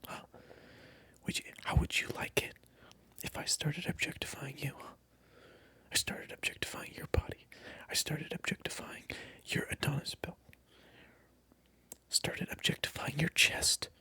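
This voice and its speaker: An ASMR creator whispers close to the mic with very breathy, husky delivery. The voice sits at a middling, ambiguous pitch. The talk is teasing and mock-offended, circling back on the same phrases. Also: fairly even intonation, a little slow, bright, forceful tone, no echo. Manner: mock-indignant, teasing, repetitive. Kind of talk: whispered ASMR roleplay monologue to the viewer. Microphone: close microphone.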